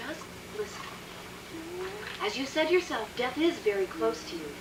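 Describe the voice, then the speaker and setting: Anguished, high-voiced woman doctor. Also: sing-song. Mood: solemn, hesitant. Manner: halting, dramatic, intense. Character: anguished woman doctor, solemn, fearful experimenter. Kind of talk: theatrical radio drama acting. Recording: noisy, echoey, distant radio recording.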